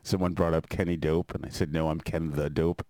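A man from New York, a guest DJ on a radio show in a studio, laid-back, self-mocking and quick to laugh. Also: very deep voice, steady tone, warm tone, dry recording. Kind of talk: casual radio chat. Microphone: studio microphone.

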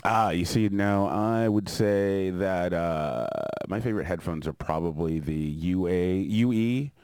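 A man from New York, a guest DJ on a radio show in a studio, laid-back, self-mocking and quick to laugh. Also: low voice, darkish tone, clean tone, dry recording. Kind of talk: casual radio chat. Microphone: studio microphone.

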